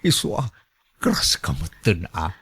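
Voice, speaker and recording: Slow, teasing old suitor. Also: male voice, deep voice, huge pitch swings, vocal fry, fairly bright tone, breathy edge, exaggerated voice. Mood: teasing, pleased. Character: older male pensioner courting a woman, generous, teasing. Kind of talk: radio drama acting.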